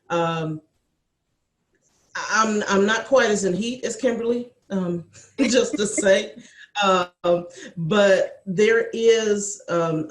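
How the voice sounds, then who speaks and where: A woman chatting casually as a cast member, speaking in a thoughtful, hesitant, measured way on a video call. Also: medium pitch. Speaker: cast member and executive assistant. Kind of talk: casual chat. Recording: roomy echo over video call.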